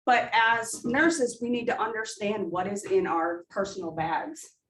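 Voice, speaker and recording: A woman's voice: mid-range voice, fairly even intonation, unhurried, fairly bright tone, slight background noise. A woman nursing instructor with a big voice, debriefing a student in an emphatic, coaching way with rhetorical questions. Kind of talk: simulation debrief. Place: simulation room. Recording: echoey room, heard from a distance.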